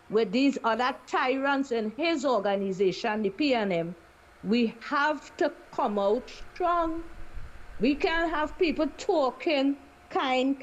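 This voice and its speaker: A woman calling in to a talk radio show, arguing her political point forcefully. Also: a little high, lo-fi sound. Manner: forceful, emphatic political argument. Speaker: caller. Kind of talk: call-in radio opinion.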